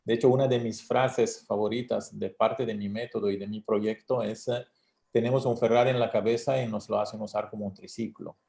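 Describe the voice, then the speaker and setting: Low-voiced Swiss coach, non-native Spanish. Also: male voice, fairly even intonation, vocal fry, dark tone. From Switzerland. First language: not Spanish. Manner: measured, frequent "eh" pauses. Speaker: guest, brain-training coach. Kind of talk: radio interview.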